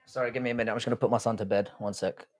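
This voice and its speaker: A man's voice: on the low side, fairly even intonation, racing. Laid-back South London man streaming live. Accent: South London English. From Ireland. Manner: laid-back, chatty, swears freely. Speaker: livestream host. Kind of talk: casual livestream chat reading viewer comments.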